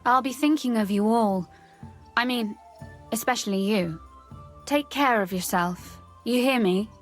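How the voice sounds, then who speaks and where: An actress playing a caring young woman with dry wit in a video game, frank and gently teasing. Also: a little high, measured pace, faint music behind, lightly acted. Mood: concerned.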